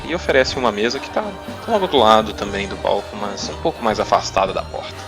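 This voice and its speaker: A man's voice: on the low side, expressive, fast. A man narrating a tabletop roleplaying game as game master, with music behind him. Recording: clear music bed behind.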